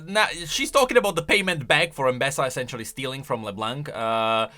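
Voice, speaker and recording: Animated, bright-voiced man doing casual commentary. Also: medium pitch. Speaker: gaming lore YouTuber. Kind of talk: casual commentary on game lore.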